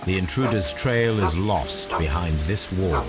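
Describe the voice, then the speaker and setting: A man playing a keen, coolly analytical British gentleman detective in a video game, speaking precisely and formally. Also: deep voice, unhurried. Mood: musing, analytical. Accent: British English. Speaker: video game lead character. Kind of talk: scripted game dialogue and musing aloud. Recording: background music under the voice.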